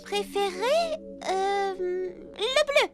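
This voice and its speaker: A woman's voice: very high voice, lively intonation, extremely slow, heavily acted. A woman playing a sweet, earnest little girl heroine in a children's cartoon. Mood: hesitant, helpful. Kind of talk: children's cartoon dub acting. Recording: loud music behind the voice.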